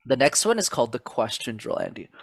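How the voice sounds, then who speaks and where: Lively motivational man, dry sound. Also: medium pitch, fast talker, no echo. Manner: animated, motivational, addresses host by name. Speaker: podcast guest, public speaking coach. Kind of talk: casual interview chat.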